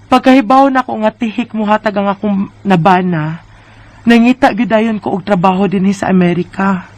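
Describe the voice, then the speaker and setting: A woman's voice: mid-range voice, moderate pace, a little mellow, natural voice. A woman with a Filipino Visayan accent acting a weary, homesick wife in an earnest radio drama. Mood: reflective. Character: weary, homesick Filipina wife divorcing her American husband.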